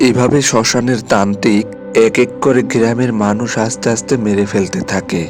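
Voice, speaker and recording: A man's voice: on the low side, fairly even intonation, brisk. A man narrating a scripted horror story in a dramatic style. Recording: loud music behind the voice.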